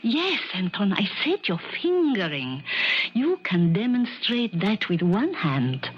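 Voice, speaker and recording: Insistent aging piano coach, muffled radio. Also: female voice, mid-range voice, big pitch swings, noisy background. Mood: firm, urging. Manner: emphatic, coaxing, deliberate and theatrical. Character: aging female piano coach and manager, insistent, devoted friend. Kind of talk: 1970s radio drama acting. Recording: dull vintage radio broadcast recording.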